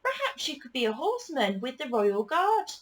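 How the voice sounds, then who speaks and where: A woman's voice: sits high, sing-song, normal speed, voice pushed up. A woman voicing a helpful, adventurous young girl in a children's picture book, coaxing and encouraging.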